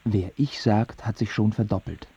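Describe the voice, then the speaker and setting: An actor in a radio play playing a self-absorbed, precocious, stubborn boy who tells his own life earnestly and deliberately. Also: lowish voice, fairly even intonation, mellow, husky, highs cut off. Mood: solemn, searching. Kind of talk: literary radio play monologue and dialogue.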